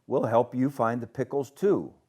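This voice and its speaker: A man's voice: medium pitch, normal speed, warm tone, breathy edge, lightly acted. A man voicing eager young friends in a children's storybook, with simple, earnest delivery. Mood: reassuring.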